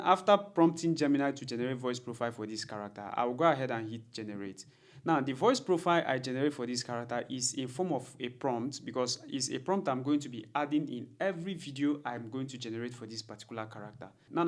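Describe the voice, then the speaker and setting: A man narrates a software tutorial in a medium-pitched voice. He explains each step methodically, repeating key points as he goes. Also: fast. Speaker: tutorial presenter. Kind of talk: instructional screen-recording narration.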